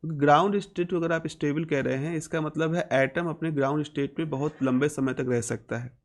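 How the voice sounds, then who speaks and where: A man's voice: on the high side, steady tone, brisk. A man with an Indian accent lecturing as a physics teacher, explaining step by step and repeating key phrases.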